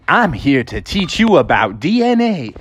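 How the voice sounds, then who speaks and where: A woman voicing a cheerful male cartoon molecule who explains things in a drawn-out, teacherly way. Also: sits low, very animated, husky, heavily acted. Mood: eager teaching. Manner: presenting facts brightly, drawn out.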